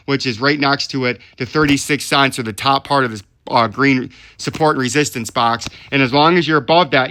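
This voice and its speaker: Male host for chart commentary, mid-pitched, explanatory, frequently tags points with a questioning check-in. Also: a bit bright. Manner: explanatory, often checks in with "right?". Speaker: host of a crypto chart analysis channel. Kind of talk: live technical chart commentary.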